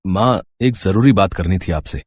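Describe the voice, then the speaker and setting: A man playing an earnest, easygoing young son and newlywed husband in an animated moral story, eager in manner. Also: low voice, normal speed, breathy edge, no echo, studio quality. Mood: hesitant, pleading. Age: young adult.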